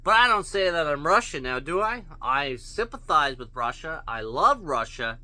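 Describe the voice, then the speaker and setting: A man's voice: a little high, sing-song, moderate pace, pushed and bright. A man ranting bluntly to his viewers, profane and full of rhetorical questions. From Canada. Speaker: vlogger. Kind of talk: opinion rant to camera.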